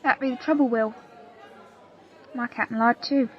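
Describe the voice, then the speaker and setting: A woman's voice: a little high, fairly even intonation, moderate pace, highs cut off, a faint crowd, lightly acted. A woman playing a loyal, plain-spoken pirate crew member in an audio play, telling her story earnestly in old seafaring dialect. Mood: urgent, probing. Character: loyal, plain-spoken pirate crewwoman confiding a grave secret.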